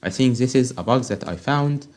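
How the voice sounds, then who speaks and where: A man's voice: medium pitch, lively intonation, normal speed, a little mellow. A man with an Arabic accent, a native Arabic speaker, giving an informal step-by-step screen tutorial as an online instructor, often checking in with "okay?".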